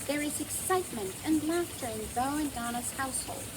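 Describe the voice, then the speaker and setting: A woman reading a children's storybook aloud in a gentle, theatrical, emphatic way, as a storyteller. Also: high voice, animated, a little fast, noisy background. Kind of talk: expressive scripted storybook narration.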